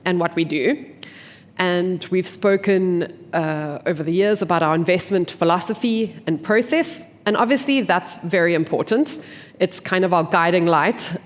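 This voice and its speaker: A woman from an investment team giving a conference talk. She sounds blocked up from a cold and explains clearly, often posing questions and then answering them. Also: lowish voice. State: congested from a cold. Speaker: investment team member presenting at a forum. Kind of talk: conference presentation. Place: conference venue. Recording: long hall reverb.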